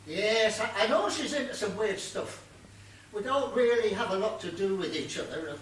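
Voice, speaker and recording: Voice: mid-range voice, lively intonation, normal speed, a bit bright, lightly acted. An actor playing a muddled, literal-minded gardener in a comic stage play, stammering and earnestly deferential as the character is questioned. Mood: earnest, pleading. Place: stage of a small theatre. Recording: distant echoey theatre recording, faint noise.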